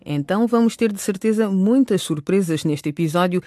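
A woman's voice: mid-range voice, moderate pace, mellow, no room sound. A woman reading scripted narration for a radio drama as its narrator.

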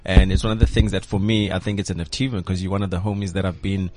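Guy hosting a radio show, lowish voice, laid back, lots of you know. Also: rapid-fire. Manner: relaxed, repeats "you know" and "my brother". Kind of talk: casual interview chat.

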